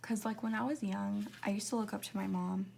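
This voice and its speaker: A woman in her twenties chatting casually in a rambling, confiding way, laughing often and slipping into soft whispers as she talks through decorating her planner. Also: medium pitch, fairly even intonation, fairly quick, vocal fry, a little hiss or hum. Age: 20s. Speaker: planner vlogger.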